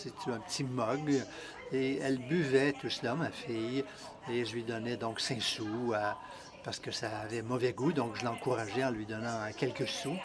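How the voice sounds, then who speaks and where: A man introducing a speaker and then interpreting her talk, relaying it in the first person in a measured, even way. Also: medium pitch. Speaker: interpreter and emcee. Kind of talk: live interpretation of a seminar talk.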